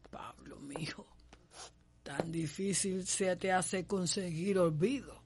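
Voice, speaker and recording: A knowing, fatherly plantation slave in a radio drama talks in rustic Cuban dialect. The voice is high for a man and moves slowly, drawing out words. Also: noisy background. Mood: sorrowful concern. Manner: rustic dialect, drawn-out delivery. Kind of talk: radio soap opera acting.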